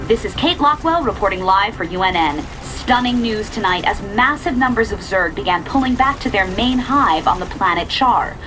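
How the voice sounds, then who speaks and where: A woman playing an earnest sci-fi TV news anchor in a video game, with an American accent and crisp, formal newsreader phrasing. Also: mid-range voice, lively intonation, normal speed, few pauses, over music, natural voice, rough recording. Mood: newsy, composed. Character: polished, earnest female TV news anchor of a space dominion. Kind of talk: scripted news broadcast delivery.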